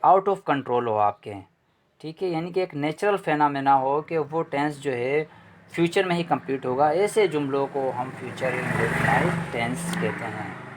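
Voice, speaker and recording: Male grammar lecturer, patient and repetitive, mid-pitched voice. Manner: repeats points, explains patiently with rhetorical questions. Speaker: English grammar teacher. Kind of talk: explanatory lecture.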